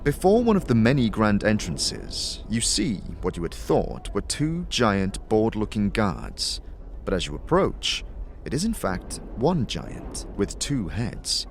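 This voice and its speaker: Low-voiced man narrating fantasy. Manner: dramatic storytelling. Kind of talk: scripted second-person fantasy narration.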